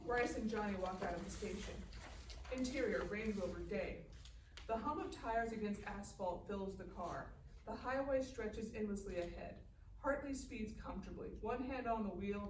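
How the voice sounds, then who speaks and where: A woman narrates screenplay stage directions in a medium-pitched voice. It is a live table read, recorded from a distance in an echoey room. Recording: echoey, distant room mic with clear noise.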